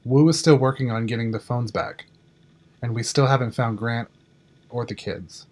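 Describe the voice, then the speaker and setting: A man's voice: medium pitch, moderate pace, a little mellow, lightly acted. A man acting a matter-of-fact, dry control room engineer in an audio drama. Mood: businesslike.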